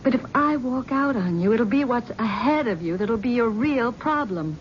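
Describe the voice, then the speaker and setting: An actress playing a bitter, wealthy wife with a sarcastic, needling manner in a 1970s radio drama. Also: female voice, fairly high pitch, lively intonation, moderate pace, natural voice. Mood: weary. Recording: dull old radio broadcast with faint hiss.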